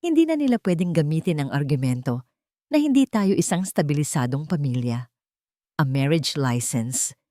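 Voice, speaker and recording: Lady narrator doing a serious determined guy in a love story, low voice, kinda pleading, really dry sound. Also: warm tone, no room sound. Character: earnest, determined young man proposing a marriage of convenience.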